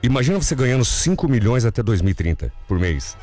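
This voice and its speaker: A man's voice: sing-song, dark tone. A male radio co-host with teasing, joking banter. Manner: fast, teasing, big swings in pitch. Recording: radio studio with music bed.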